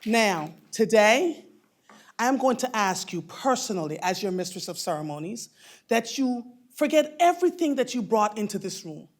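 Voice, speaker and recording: A woman in her fifties with a boomy voice, emceeing a conference and effusively addressing the audience from the stage. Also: very animated, moderate pace. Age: 50s. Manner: effusive, calls people darling. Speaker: mistress of ceremonies.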